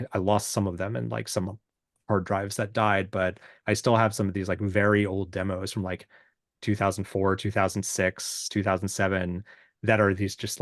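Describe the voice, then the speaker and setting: A man with a deep, booming voice talking casually and reflectively as an interview guest, halting and self-deprecating as he explains his music. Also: dry recording, studio quality.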